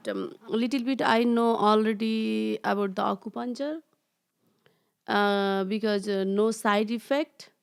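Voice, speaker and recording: Creaky, even-toned Nepali woman. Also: mid-range voice. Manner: halting non-native phrasing. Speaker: interview guest, farm worker. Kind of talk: unscripted interview answers.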